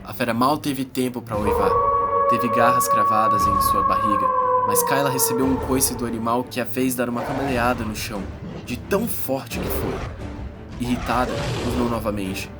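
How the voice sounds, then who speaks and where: A man's voice: mid-range voice, moderate pace, natural voice. A man playing an earnest young adventurer, narrating his perils in a dramatic, suspenseful first-person audiobook style. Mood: tense, awed. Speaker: narrator and protagonist. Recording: loud music bed under voice. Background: music.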